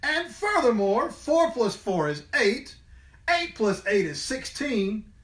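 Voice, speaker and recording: A man voicing a bossy, boastful know-it-all female capybara from a storybook, loud and high. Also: sing-song, takes it slowly, echoey room, heavily acted. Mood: smug, showing off. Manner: loud, boastful, shouting answers.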